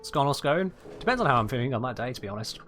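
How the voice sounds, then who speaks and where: A man's voice: medium pitch, expressive, rapid-fire, fairly bright tone, soft background music. A British man chatting casually while he livestreams a video game, rambling and correcting himself as he goes. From United Kingdom. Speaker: livestream gamer. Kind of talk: casual chat while gaming.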